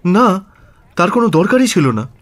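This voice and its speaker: A man's voice: high-pitched, very animated, moderate pace, a bit bright. A man playing an agitated, emotional British government secretary in a radio drama, stammering and repeating himself with English exclamations. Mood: indignant. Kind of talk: Bengali radio drama acting.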